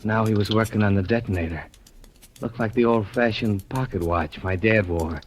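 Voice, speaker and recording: An actor playing an anxious young man torn between loyalty to his father and his conscience, speaking with uneasy tension and dropping to a hushed tone when he narrates, in a 1950s radio drama. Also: fairly low pitch, normal speed, slight background noise, lightly acted. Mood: somber.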